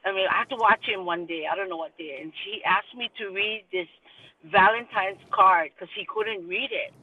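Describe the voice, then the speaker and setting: A middle-aged woman from Hawaii, a mother telling a chatty, animated story as a guest. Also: medium pitch, normal speed, phone-quality sound. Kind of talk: casual storytelling. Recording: distant mic with some background noise.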